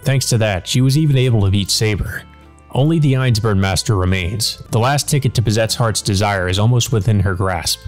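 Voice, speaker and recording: A man's voice: fairly low pitch, brisk, faint music behind, natural voice. A man voicing a cynical demon servant in a visual novel, flippant and taunting in a casual way. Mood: smug musing. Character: cynical, mocking male demon servant, a grinning shadow.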